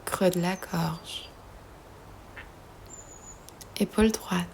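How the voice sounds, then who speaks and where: Very slow, soft, breathy woman guiding meditation. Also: on the low side, steady tone, slight background noise. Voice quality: soft, breathy, often half-whispered. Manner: soothing, gentle, long pauses between phrases. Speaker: yoga nidra guide.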